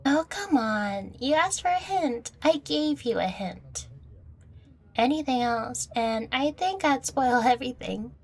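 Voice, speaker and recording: Audio roleplay: affectionate young catgirl girlfriend played by a woman, coy and playful, slow delivery, soft husky breathiness. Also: high voice, animated, full character voice. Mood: teasing, playful. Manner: teasing, coy. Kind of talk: audio roleplay spoken to the listener.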